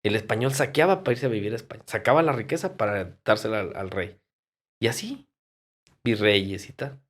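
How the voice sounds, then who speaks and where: A man's voice: fairly low pitch, expressive, fairly quick. A Mexican man from Durango chatting casually on a podcast, emphatic and colloquial, fond of rhetorical questions and salty language. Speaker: podcast guest. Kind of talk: casual podcast conversation with anecdotes.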